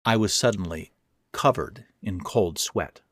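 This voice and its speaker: Guy reading scary first person fiction, lowish voice, takes it real slow, dramatic. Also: a bit bright, no room sound, clean studio sound. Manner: dramatic, suspenseful. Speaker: author and narrator. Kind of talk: scripted first-person horror narration.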